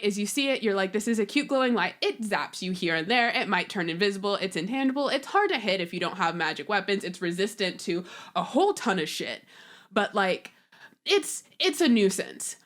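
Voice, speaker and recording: A woman's voice: mid-range voice, a bit bright. Woman casually talking tabletop games. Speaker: podcast guest. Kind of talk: casual tabletop game discussion.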